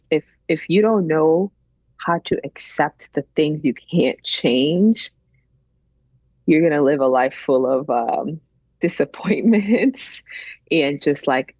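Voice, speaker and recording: Low-voiced, reflective woman sharing her story. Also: a little mellow, no echo, muffled recording. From Benin, later grew up in the United States. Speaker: interview guest, chef. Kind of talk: personal storytelling in an interview.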